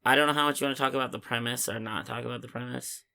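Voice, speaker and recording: A podcast co-host casually discussing films in a halting way, often searching for words. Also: mid-range voice, steady tone, creaky, pushed and bright.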